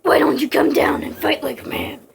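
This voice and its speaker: A woman's voice: sits high, fairly even intonation, a little fast, vocal fry, slight background noise. A woman voicing a blunt, confrontational male soldier hero in a read-aloud story. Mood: angry. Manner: blunt, demanding.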